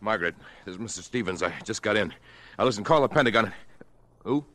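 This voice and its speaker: An actor in a radio drama playing a brash, self-important businessman, brisk, bossy and impatient. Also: fairly low pitch, lively intonation, fairly bright tone, a little hiss or hum. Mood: hurried, excited.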